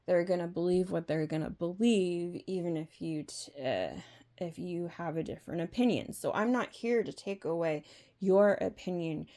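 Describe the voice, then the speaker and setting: Slow-paced woman giving a halting opinion monologue. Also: fairly low pitch, mellow. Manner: halting, rambling, with rhetorical questions. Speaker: vlog host.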